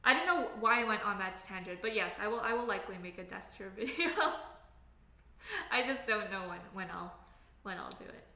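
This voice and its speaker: A woman's voice: mid-range voice, expressive, fairly quick, sharp tone. Chatty Canadian woman streaming from home. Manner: chatty, many fillers and tangents. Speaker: livestream host, software engineer. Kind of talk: casual livestream chat reading comments. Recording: echoey room, faint background ambience.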